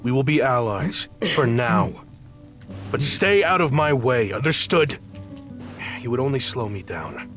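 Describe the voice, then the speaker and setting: A man's voice: mid-range voice, expressive, unhurried, fairly bright tone, soft background music. A man playing a stern, proud soldier in a video game, speaking tersely and formally. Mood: stern, grudging. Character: stern, proud soldier of the sun, curt hero. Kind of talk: serious video game acting.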